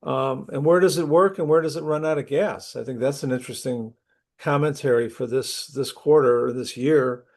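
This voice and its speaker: A middle-aged man with a cold, his voice going, runs an online class over a computer mic. He talks in an easy, conversational way, clears his throat often and restarts his sentences. His pitch sits in a middle range. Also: moderate pace, darkish tone. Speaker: university instructor. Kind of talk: opening a class session online. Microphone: computer mic on a video call.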